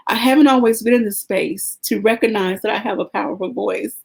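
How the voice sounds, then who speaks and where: A woman hosting a podcast, talking sincerely and thoughtfully about her purpose, with pauses and "um" fillers. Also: medium pitch, vocal fry, a little mellow, breathy edge. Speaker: podcast host. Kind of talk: casual podcast conversation.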